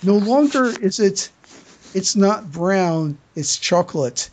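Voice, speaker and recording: A man hosting a casual podcast news segment, with a husky voice and a halting delivery that repeats words. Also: high voice, expressive, a little hiss or hum. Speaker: podcast host reading news items.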